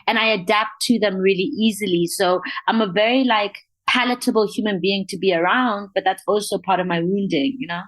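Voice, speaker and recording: A young woman from Lesotho speaks as a podcast guest, with a faint accent that blends Southern African and British sounds. She tells her story candidly and with animation, and she laughs easily as she talks. Her voice sits in a middle pitch. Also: brisk, hardly any pauses, no room sound. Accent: faint mixed Southern African and British accent.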